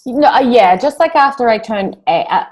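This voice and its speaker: A woman's voice: fairly high pitch, animated, moderate pace, a little mellow. A woman in her thirties from New Zealand and Australia, talking as an interview guest in a rambling way, with many fillers and restarts. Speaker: guest, singer-songwriter. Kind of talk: casual interview conversation.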